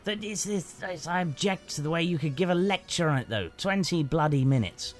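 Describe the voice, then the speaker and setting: A man's voice: fairly high pitch, expressive, a little fast, soft background music, close to an everyday voice. An actor playing an arrogant, scornful male performance artist who talks in a haughty, sneering way. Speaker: rival actor. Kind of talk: improvised comedy banter.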